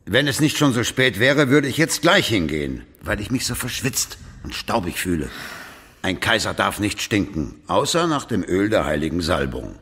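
An actor playing a commanding, pious, aging medieval emperor in a German radio drama, imperious and deliberate, with a husky voice. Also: male voice, on the low side, very animated, fairly bright tone, heavily acted. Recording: long reverberant stone-hall echo.

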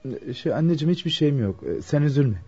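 Radio drama: mellow-voiced shy young tradesman, polite and stammering, dull sound. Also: on the high side, lively intonation, fast talker, husky, faint music behind. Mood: downcast, evasive. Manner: hesitant, stammering, courteous. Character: shy, polite, flustered young male cobbler. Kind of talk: Turkish radio theatre acting.

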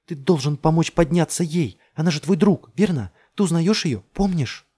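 A man voicing a desperate, commanding woman who urgently shouts at others and insists they act. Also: a little high, expressive, normal speed, breathy edge, voice pushed up. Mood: desperate, insistent.

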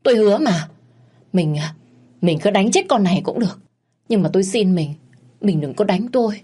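A woman's voice: medium pitch, animated, normal speed, mellow, breathy edge, pitched above the performer's own voice. Woman putting on a begging cheating husband. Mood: pleading.

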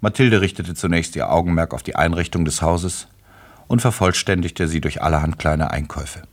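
Low-voiced male literary narrator. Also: normal speed. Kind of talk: literary scripted narration for a radio play.

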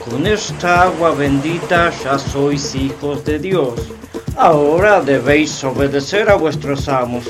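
A man's voice: fairly high pitch, measured pace, music in the background, lightly acted. A man acting in a radio drama as a pious, solemn missionary who preaches sanctimoniously. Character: pious, solemn missionary priest baptizing captives.

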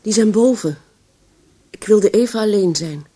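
A grieving middle-aged widow in a radio play, bewildered and wounded. Her voice is husky and sits at an ordinary female pitch. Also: a little slow, mellow. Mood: subdued, sorrowful. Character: grieving, bewildered widow and mother of a runaway son. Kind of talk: radio drama acting.

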